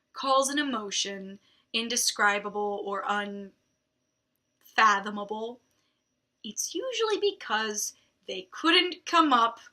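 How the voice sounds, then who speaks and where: A woman's voice: a little high, sharp tone. A young adult woman hosting a book show, reading a novel aloud and breaking off for wry, drily mocking commentary. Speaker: book reviewer host.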